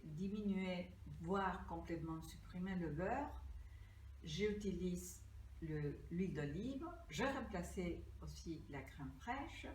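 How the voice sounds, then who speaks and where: Older woman, from Peru, slow earnest pitch, fairly low voice, echoey room, distant mic, faint ambience. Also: darkish tone, a little hiss or hum. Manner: slow, deliberate, earnest, reading from notes. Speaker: pastry cookbook author. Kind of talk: crowdfunding pitch.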